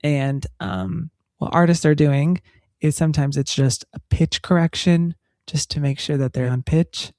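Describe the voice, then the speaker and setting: A woman's voice: deep voice, measured pace, mellow, no echo, studio quality. A woman co-hosting a podcast, chatting casually and explaining things with examples. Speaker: podcast co-host. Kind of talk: casual chat. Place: recorded in Texas.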